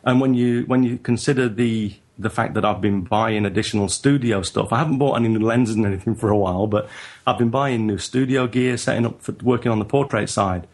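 Northern english guy, lowish voice, kinda halting and thoughtful, keeps restarting. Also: darkish tone. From northern England. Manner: halting, reflective, frequent restarts. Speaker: guest photographer. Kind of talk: casual interview chat.

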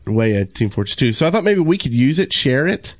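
A man's voice: mid-range voice, very animated, quick pace, no room sound, studio quality. A man co-hosting a gaming podcast in casual, joking banter. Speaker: podcast co-host.

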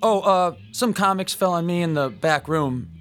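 A man's voice: high-pitched, moderate pace, a bit bright, faint music behind. A man playing a timid, awkward comic book shop owner in a radio drama, speaking in a hesitant, stammering way. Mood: nervous, evasive.

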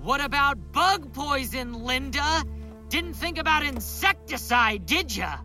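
A man's voice: very high voice, lively intonation, a little slow, sharp tone, soft background music, heavily acted. An actor playing a paranoid, sardonic man unravelling as he records a log of his psychedelic self-experiment in an audio drama. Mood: paranoid, taunting. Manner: agitated, muttering, sardonic. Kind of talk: audio drama monologue, spoken case-study log.

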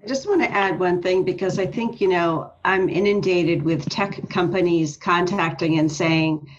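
A woman's voice: fairly low pitch, moderate pace, a little hiss or hum. A woman answering conversationally as an interview guest, earnest and emphatic, on a dull remote-call recording. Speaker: interview guest, health organization leader.

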